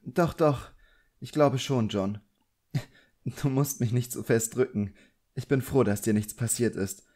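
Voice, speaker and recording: A man voicing a shaken, affectionate middle-aged woman in an audiobook, halting and gently reassuring. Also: medium pitch, voice pushed up, natural voice. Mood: dazed, relieved. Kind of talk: audiobook character acting.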